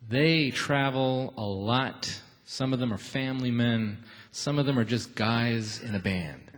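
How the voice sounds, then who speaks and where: A man's voice: medium pitch, unhurried. A man hosting a live radio show, interviewing and announcing from a theatre stage.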